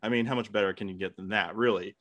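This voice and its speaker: Brief: young male debater, bright forceful voice, sweary casual banter, close dry mic. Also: on the low side, steady tone, quick pace, no room sound. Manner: sweary, joking. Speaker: debate contestant.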